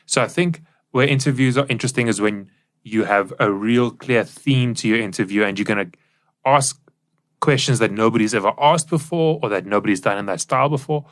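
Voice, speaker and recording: A man with a mid-pitched voice talks as a podcast co-host. He is conversational and opinionated, explaining his thinking in a casual discussion style. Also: a little fast, a bit bright.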